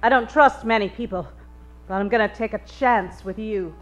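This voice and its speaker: A woman's voice: medium pitch, moderate pace, soft background music, natural voice. A woman playing a brassy, desperate, strong dame client in a comedic detective radio play, with hard-boiled melodramatic patter. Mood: urgent, tough.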